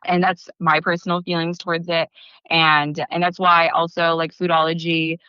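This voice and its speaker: A young woman chatting on an interview podcast, her voice bright and smooth against a dry, slightly dull recording. She talks casually, trails off, restarts, and fills her sentences with "like.". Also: on the low side, level tone, moderate pace, dry recording. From Philippines, raised in California. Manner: chatty, lots of fillers and restarts. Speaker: bakery founder, guest.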